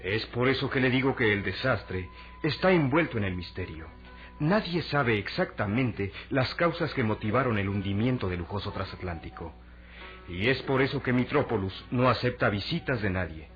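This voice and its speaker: A man acting a courteous, formal ambassador in a radio serial, speaking in a measured way. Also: mid-range voice, lively intonation, lightly acted. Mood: grave, informative. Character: courteous, formal male ambassador, confidant of the hero. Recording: dull radio-drama recording with music underneath.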